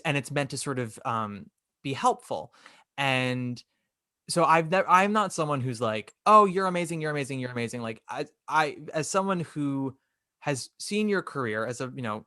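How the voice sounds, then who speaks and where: A young man hosts a casual interview, speaking in a candid, chatty, opinionated way. His voice is bright and pointed. It is recorded close-up and dry, with no room sound. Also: mid-range voice, no room sound. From New York. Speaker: podcast host.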